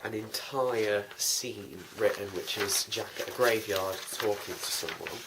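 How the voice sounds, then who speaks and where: A man's voice: on the low side, unhurried. A young man talking casually and in a rambling, informal way as host of a behind-the-scenes vlog. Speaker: host, writer and producer of a fan audio drama. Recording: noisy amateur recording with clicks.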